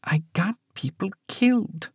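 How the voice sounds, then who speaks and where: A man voicing a sharp, guarded woman in her nineties who speaks quietly and steadily, in a storybook reading. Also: high voice, measured pace, husky, dry recording, studio quality, voice pushed up, exaggerated voice. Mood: hushed remorse. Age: 90s.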